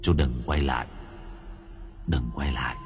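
A man voicing the ghost of an older village man who speaks in a low, even, murmured monotone. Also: unhurried, music in the background. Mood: solemn.

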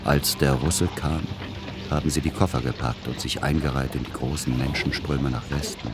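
Middle-aged son narrating a radio play, really deep voice, measured and melancholy, music underneath. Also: male voice, fairly even intonation. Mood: somber, reflective. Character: melancholy grown son recounting his past. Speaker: first-person narrator.

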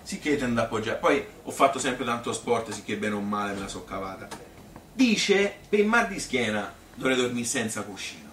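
A man's voice: mid-range voice, animated, normal speed, a bit bright, natural voice. A male actor playing a chatty Tuscan man who reminisces about his youth in a colloquial, rambling stage monologue, full of repetitions and appeals for agreement. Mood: wry, amused. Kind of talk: spontaneous-sounding theatrical storytelling. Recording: echoey room, distant mic, faint noise.

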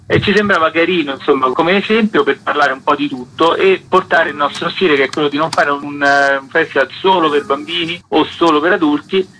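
A chatty man, his voice bright and fairly high, talking about his festival as a radio guest. He rambles a little, with fillers and offhand jokes. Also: brisk, few pauses, a little hiss or hum, rough recording. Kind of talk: radio interview. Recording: radio broadcast.

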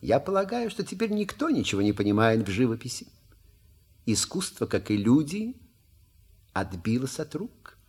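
Radio comedy: a sly manservant, urbane and ironic, husky voice with wide swings in pitch. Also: male voice, very animated, moderate pace, a little mellow. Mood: impassioned. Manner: urbane, ironic, elaborately polite. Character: Sebastien, suave sly manservant, politely ironic. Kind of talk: theatrical radio play acting.

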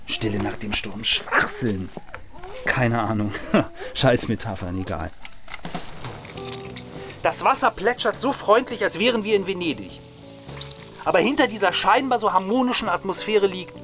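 An actress playing a cynical, foul-mouthed trapped woman in a radio play, swinging between flowery musing and bitter outbursts. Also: on the low side. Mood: bitter muttering. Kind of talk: German radio play monologue.

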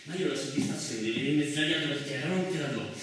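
A man performing an animated, colloquial theatrical monologue as a storyteller on stage. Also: mid-range voice, fast talker, slight background noise. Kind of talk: theatrical autobiographical monologue with acted voices and snatches of song. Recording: echoey hall, far from mic, music behind.

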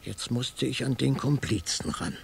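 An actor playing a hardboiled private detective, narrating his case dryly in a gravelly, husky voice for a radio crime drama. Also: mid-range voice, a little slow, heavy background noise. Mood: dry, matter-of-fact.

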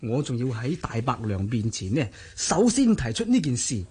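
A male actor plays a scheming family ringleader in a Cantonese radio drama. His voice is husky and swings widely in pitch. He insinuates and coaxes, sly and ruthless. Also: sing-song, normal speed, warm tone. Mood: smug, ruthless.

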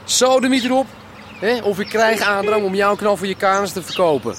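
Very high-pitched, rowdy man calling out. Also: brisk, slight background noise. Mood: aggressive. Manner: calling out loudly, coarse, threatening. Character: rowdy, aggressive son shouting to be let in. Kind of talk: radio drama acting.

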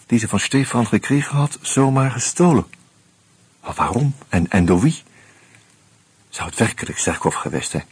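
An actor playing an uneasy murder suspect in a radio play, musing and hesitant, with a wry streak. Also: male voice, medium pitch, darkish tone, slightly rough, no echo, exaggerated voice. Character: uneasy male murder suspect narrating his own investigation. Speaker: actor and first-person narrator. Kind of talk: Dutch radio play, reflective narration and dialogue.

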